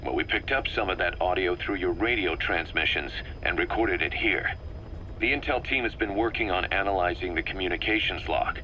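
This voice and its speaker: Low, sharp-voiced military second-in-command. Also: male voice, a little hiss or hum, rough recording. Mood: methodical. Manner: measured, methodical explaining. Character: analytical, wary male military second-in-command briefing his leader. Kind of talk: scripted video game cutscene dialogue.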